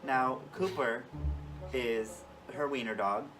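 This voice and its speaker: A man's voice: medium pitch, slow pace. A young man co-hosting a vlog, telling stories in a casual, chatty, rambling way. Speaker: vlog co-host. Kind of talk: casual storytelling. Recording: distant mic, music behind.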